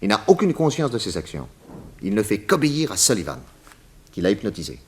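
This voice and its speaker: Give a 1960s radio serial its detective boss. He is confident and professorial, with a lowish voice that rises and falls dramatically. He stresses key words and pauses for theatrical effect. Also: very animated. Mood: emphatic, explanatory. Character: confident male detective boss explaining crimes to his assistant.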